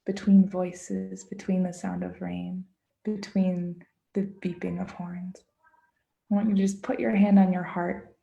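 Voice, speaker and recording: A woman presenting as an author in an informal live online talk, speaking gently and unhurriedly with pauses for emphasis over a video call. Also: on the low side, level tone, vocal fry, muffled recording. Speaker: children's author, guest presenter.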